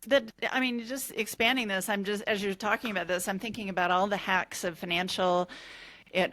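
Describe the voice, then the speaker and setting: A woman hosts an interview, asking curious, searching questions. Her voice sits a little low for a woman. She works her thoughts out aloud before landing on the question. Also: a bit bright. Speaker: podcast host. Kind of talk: conversational interview.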